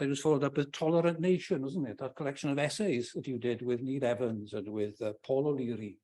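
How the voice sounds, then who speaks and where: A man's voice: on the high side, darkish tone. An older man with a Welsh accent, whose first language is Welsh, gives an earnest, emphatic vote of thanks after a lecture. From Wales. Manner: earnest and emphatic, with dry asides. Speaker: lecture respondent giving thanks. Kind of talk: formal public vote of thanks. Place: lecture hall.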